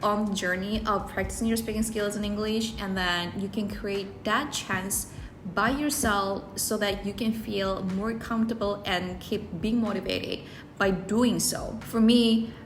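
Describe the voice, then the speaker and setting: A woman's voice: medium pitch, steady tone, a little slow, background noise. A woman speaking English, giving earnest personal advice to camera and often rephrasing herself mid-sentence. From South Korea. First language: Korean. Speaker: English-learning video creator. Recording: roomy echo, faint background music.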